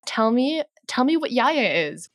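A woman's voice: fairly high pitch, big pitch swings, a little slow. A woman hosting a conversational interview, upbeat and encouraging toward her guests. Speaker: host.

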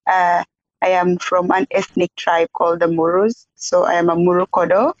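Young woman guest, measured, soft, flat delivery, muffled dry line. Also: lowish voice, no room sound. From South Sudan, raised in Uganda. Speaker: podcast guest, peace activist. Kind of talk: interview.

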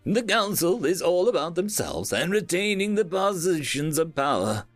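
Casting: man as formal, nervy alien highborn for space-opera storybook, raised lively pitch, emphatic delivery. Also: normal speed, no room sound, faint music behind. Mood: bitter, insistent. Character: alien Highborn councillor, anxious, formal, distrustful of authority.

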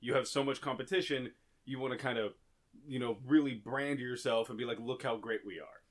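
A man co-hosting a podcast, talking loudly and rambling in casual jokes in an echoey room. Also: mid-range voice, fairly even intonation, brisk. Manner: loud, joking, rambling. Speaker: podcast co-host. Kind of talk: casual chat.

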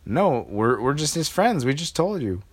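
A man's voice: fairly high pitch, big pitch swings, moderate pace, breathy edge, voice pushed up. A man voicing a group of impatient little princess girls. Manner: impatient, pleading.

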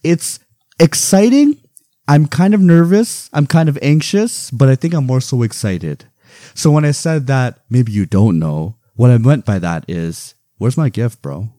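A young man in his twenties chats on a podcast, his pitch leaping up and dropping down all over the place. He is playful, sometimes loud with excitement and sometimes mumbling low, and the sound is close and dry. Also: measured pace, no echo. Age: 20s. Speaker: podcast co-host. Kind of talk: casual chat.

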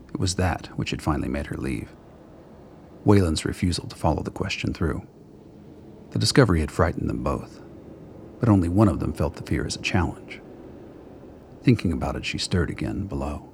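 A man with a remarkably low voice narrates scripted drama. His delivery is measured and literary, like an audio storyteller, with a faint bed of ambient noise behind him. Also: vocal fry.

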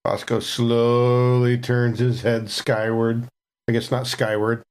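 A tabletop player chatting easily about what his character does, always in the third person. His voice sits in a middle pitch and stays relaxed. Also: slow pace. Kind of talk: casual tabletop game play.

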